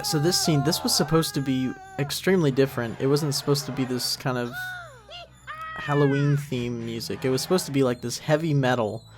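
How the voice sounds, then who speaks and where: A man with a mid-pitched voice doing casual creator commentary, rambling and self-deprecating as he talks. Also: noisy background. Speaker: series creator.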